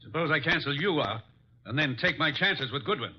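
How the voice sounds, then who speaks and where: An actor playing a hard, desperate, cornered killer, terse and tense, in an old radio crime drama. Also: male voice, fairly high pitch, expressive, fairly quick, slightly rough. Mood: threatening. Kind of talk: 1940s-50s radio drama acting. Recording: dull, muffled old radio recording.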